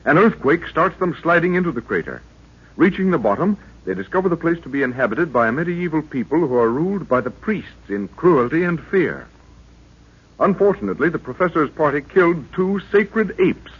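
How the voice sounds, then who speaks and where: Ominous old-time radio announcer, husky. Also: male voice, a little high, very animated, normal speed. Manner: ominous, theatrical recap. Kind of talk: dramatic 1930s radio serial narration. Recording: dull lo-fi old radio recording.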